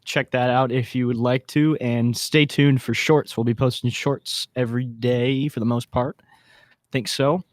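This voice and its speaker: A man's voice: mid-range voice, steady tone, a little slow, no room sound, studio quality. A man hosting a podcast in casual panel chat, with a slangy, joking way of talking. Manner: slangy, wisecracking. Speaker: podcast host.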